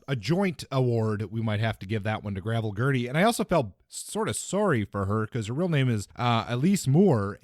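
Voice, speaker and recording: A man co-hosting a casual book discussion, speaking in a measured, tentative way that softens his points. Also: on the low side, dry recording, studio quality. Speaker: podcast co-host.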